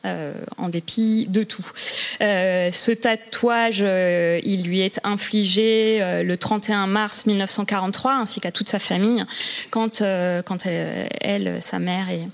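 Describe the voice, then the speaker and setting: A woman, an art historian speaking on a public panel, pausing often with fillers and restarts. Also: lowish voice, gravelly. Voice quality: hesitant, many fillers and restarts.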